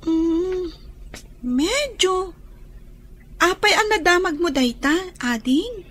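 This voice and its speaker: A hesitant young girl in a radio drama. Her pitch is extremely high and her pace slow, curious and unsure. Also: expressive, fairly bright tone, heavily acted.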